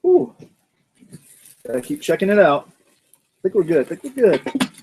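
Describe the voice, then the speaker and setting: Man, slightly high voice, loose and jokey, talks while cooking outside at the grill. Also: sing-song, unhurried, a little mellow, breathy edge. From North Georgia, USA. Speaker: cooking show host. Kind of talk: casual cooking vlog. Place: outdoors at a grill in the mountains.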